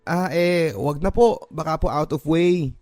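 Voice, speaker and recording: A man's voice: fairly high pitch, unhurried, darkish tone, dry recording, faint music behind, pitched above the performer's own voice, close to an everyday voice. Man playing a bashful, giddy young woman in love. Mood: shy, flustered. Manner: stammering, giddy, shyly polite.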